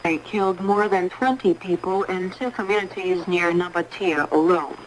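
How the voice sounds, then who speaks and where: A woman reading a formal scripted radio news bulletin in a measured, impersonal way, on a 1980s shortwave broadcast. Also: lowish voice, rough recording. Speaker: newsreader.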